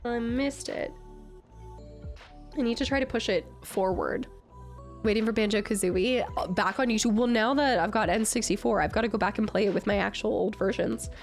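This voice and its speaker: A woman streamer chatting casually with her viewers, her voice nasal and raspy from a cold, bubbly and excitable. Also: mid-range voice, moderate pace, faint music behind. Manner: bubbly, excitable, greets chat often. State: recovering from a cold. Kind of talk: casual live-stream chat.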